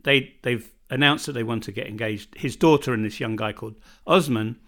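A man's voice: mid-range voice, a little fast, a bit bright. A man from Britain co-hosting a casual podcast chat at home, rambling and often restarting his sentences. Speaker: podcast co-host. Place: home in northern Bosnia.

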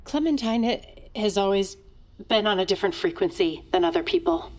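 Mother played by a woman, animated mid-range voice, concerned, apologetic, tactful, fiction podcast drama. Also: mid-range voice, a little fast, close to an everyday voice. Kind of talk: audio drama acting.

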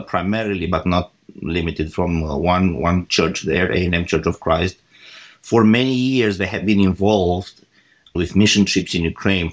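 A man from Romania in his forties speaks as an interview guest. His voice sits very low, and he lays out his reasons in a measured, deliberate way. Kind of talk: interview answers.

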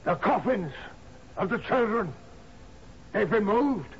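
A man's voice: high-pitched, expressive, slow, full character voice. An actor playing a distraught, insistent bereaved father in a 1970s radio drama, speaking in short emphatic phrases. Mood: anguished. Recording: muffled, noisy 1970s radio broadcast.

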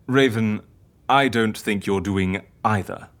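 A woman's voice: a bass voice, takes it slowly, full character voice. An actress in an audio drama playing a fussy, eccentric, sceptical woman who reassures with hesitant patience and dry wit. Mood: reasoning.

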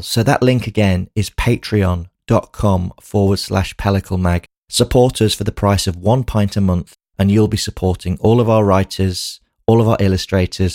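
Guy hosting a podcast, deep gravelly voice, talking right to listeners, really dry sound. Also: a little mellow, no room sound. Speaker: podcast host. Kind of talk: podcast outro, addressing listeners.

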